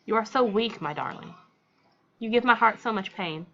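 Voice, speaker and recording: A woman's voice: medium pitch, lively intonation, moderate pace, bright, forceful tone, dull audio. A woman voicing a stern, guilt-tripping mother from a visual novel, commanding and falsely tender. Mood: grudging, reproachful. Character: menacing, guilt-tripping, smothering mother to a fearful daughter.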